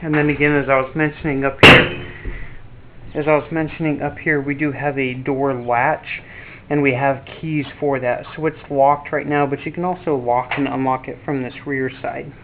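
A man's voice: mid-range voice, normal speed. Man with a tinny, phone-like sound. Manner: relaxed, explanatory, with fillers and restarts. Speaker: DIY channel host. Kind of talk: casual walkthrough vlog. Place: inside an empty cargo trailer. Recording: tinny lo-fi audio with faint background noise.

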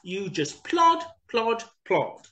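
A woman's voice: big pitch swings, drawn-out words, a bit bright, exaggerated voice. A woman voicing a proud, boastful young female hare in a storybook reading. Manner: high squealing exclamations.

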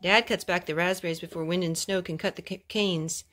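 A young woman reading a children's picture book aloud at home, phrase by phrase in a measured, careful way. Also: fairly low pitch, steady tone, fairly bright tone. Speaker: storytime host reading to her children. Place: carpeted room at home. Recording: clear background noise and clicks, homemade.

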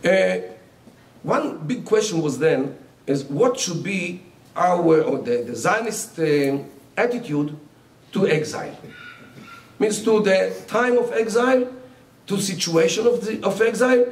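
A man's voice: high-pitched, expressive. An older man whose first language is Yiddish, giving a public lecture at a podium with many fillers and restarts. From Israel. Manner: halting, many fillers and restarts, gestures a lot. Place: lecture hall. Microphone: podium mic. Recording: roomy hall sound from some distance.

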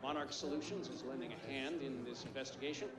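A man's voice: mid-range voice, steady tone, quick pace, vocal fry, close to an everyday voice. An actor playing a formal male city mayor reading an official statement at a press conference. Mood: official, firm. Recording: echoey, distant mic, loud crowd.

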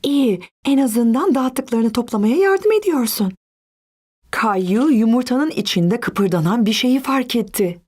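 A woman voicing a gentle, encouraging narrator and mother figure in a children's cartoon. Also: fairly high pitch, lively intonation, unhurried, breathy edge.

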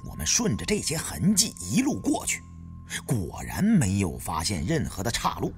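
A man reading dramatic first-person audiobook narration with an expressive storyteller's delivery. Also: medium pitch, moderate pace, soft background music.

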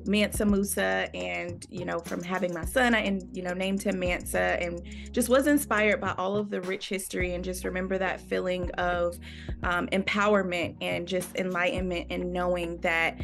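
A woman's voice: on the low side, creaky. A woman from North Carolina, a children's book author, speaking earnestly over a video call with frequent "um" and "you know". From North Carolina, USA. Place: room with a window and plants.

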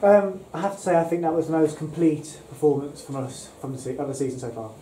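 Male club interviewer, asks post-match questions, warm tone, echoey room. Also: on the high side, quick pace. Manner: opens questions with um. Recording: echoey room with light hiss.

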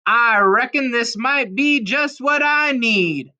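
A woman's voice: a little high, expressive, slow, sharp tone, voice pushed up, full character voice. A woman voicing a plucky, folksy cowboy in a children's storybook read-aloud. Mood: pleased. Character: folksy, plucky cowboy man thinking problems through.

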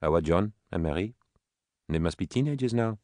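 A man reading an audiobook voices a proud imprisoned mother who speaks in formal, measured sentences. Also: low voice, animated, mellow, no room sound, clean studio sound. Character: proud imprisoned mother on trial, older woman, righteous and unbending.

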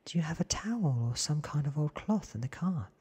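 A man voicing a cool, commanding, secretive vampire woman from a storybook in a clipped, breathy ASMR whisper. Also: on the high side, expressive, brisk, warm tone, pitched above the performer's own voice. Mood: cool, brisk.

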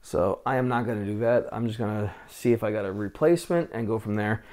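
A man's voice: on the low side, quick pace. A man in his forties hosting a vintage computer channel, talking casually through a hands-on restore at a desk in a small room, wearing a lapel mic, with lots of "uh" fillers. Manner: relaxed, chatty, frequent "uh" fillers. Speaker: vintage tech channel host. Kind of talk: casual hands-on tech walkthrough.

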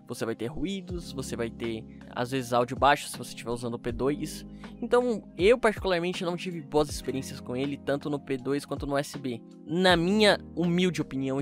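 A man with a Brazilian accent giving a casual, chatty voice-over review to his online viewers. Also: a little high. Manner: informal, chatty. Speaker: YouTube creator and fan dubber. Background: music.

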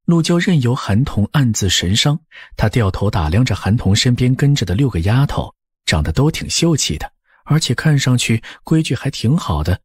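A male audiobook narrator tells a period story from a script. His voice is husky with widely moving intonation, recorded close and dry. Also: mid-range voice, very animated, moderate pace, dry recording.

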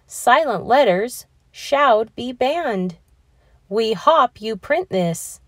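A woman's voice: on the high side, animated, very slow, no echo, clean studio sound, pitched above the performer's own voice, heavily acted. A woman voicing earnest schoolchildren reciting a letter in a storybook, emphatic and declamatory. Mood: indignant.

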